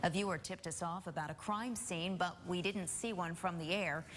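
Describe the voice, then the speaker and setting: A woman's voice: lowish voice, fairly even intonation, moderate pace, a bit bright, a little hiss or hum. A woman with an American accent reading a scripted newscast as a news anchor.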